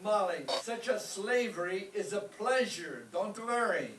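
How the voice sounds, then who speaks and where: Stubborn, boastful businessman husband played by a man, slow delivery, old radio comedy, distant roomy recording. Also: sits high, expressive. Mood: proud. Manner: self-important, immigrant phrasing. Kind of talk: stage re-creation of 1930s radio comedy. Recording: echoey distant stage recording, faint noise.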